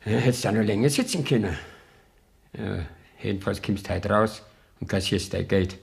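An actor playing a dry, weary prison official with a Bavarian accent in a radio play, matter-of-fact and gruffly admonishing. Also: male voice, fairly low pitch, normal speed. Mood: stern. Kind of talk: German radio play acting in dialect.

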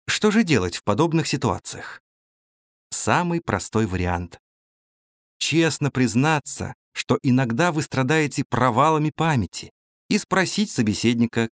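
A man narrates a practical advice audiobook at a medium pitch. His intonation is animated and varied, and he speaks straight to the listener like an instructor. The recording is dry, with no room sound. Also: dry recording. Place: recording studio.

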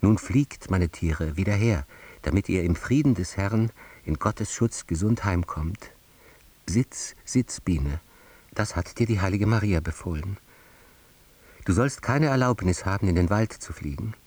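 An actor in a radio drama playing a solemn chanter, reciting an old charm in a deliberate, commanding way. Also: male voice, low-pitched, lively intonation, a little mellow.

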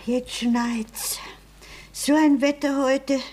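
High-voiced, fussy old landlady. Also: fairly even intonation, drawn-out words, very noisy. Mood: fussing. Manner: flustered, fussy, muttering to herself. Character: nervous, fussy elderly landlady. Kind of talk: 1960s German radio comedy acting.

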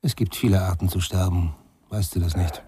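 Casting: man playing wary musician in radio thriller, low dark voice, terse, challenging, thoughtful defiance. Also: steady tone, fairly quick, slight background noise, natural voice. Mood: quietly defiant. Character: wary, defiant male musician, quietly philosophical. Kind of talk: radio crime drama acting.

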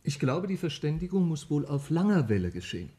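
Mellow, animated male scientist. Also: a little high, fairly quick. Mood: earnest. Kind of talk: 1950s German radio drama acting. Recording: vintage 1950s radio play recording.

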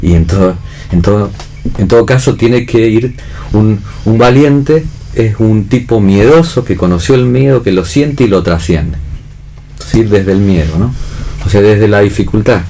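Very deep-voiced, mellow Argentine man. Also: a little hiss or hum. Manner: halting, searching, frequent tag questions. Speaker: theatre director and actor, panel guest. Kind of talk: reflective unscripted panel discussion.

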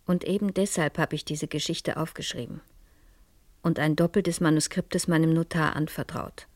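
A curious, composed madame caught up in a crime story on radio. Her voice is very low for a woman and husky. She speaks reflectively, with a wry touch. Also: fairly even intonation, moderate pace, a little mellow, no room sound. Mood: wistful, reflective. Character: curious, composed madame narrating her own dangerous case. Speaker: radio drama actress. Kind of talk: German radio crime drama acting and narration.

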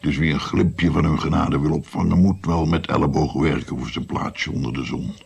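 An actor playing a wry old grandfather recounting his past in a radio drama, with a gravelly voice. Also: very deep voice, brisk, darkish tone, exaggerated voice. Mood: musing.

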